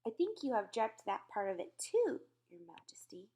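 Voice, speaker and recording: A woman voicing an earnest, courteous little girl in a storybook read-aloud, calm and friendly. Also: mid-range voice, moderate pace, a little hiss or hum.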